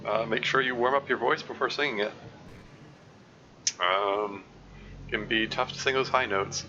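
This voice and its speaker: A man with a deep bass voice held in its upper register, chatting casually over a game stream and rambling with many restarts and chuckles. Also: moderate pace. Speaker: let's play streamer. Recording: muffled lo-fi mic with steady hiss.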